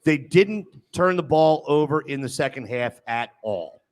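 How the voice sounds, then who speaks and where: A man's voice: on the high side, lively intonation, a little slow. A man on a sports talk show discussing football in an emphatic, argumentative way.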